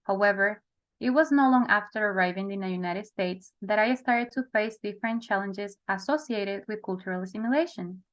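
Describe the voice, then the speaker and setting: A woman's voice: medium pitch, brisk. A young woman from El Salvador, a therapist, earnestly telling her life story in a close-mic recorded video. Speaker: honoree, therapist.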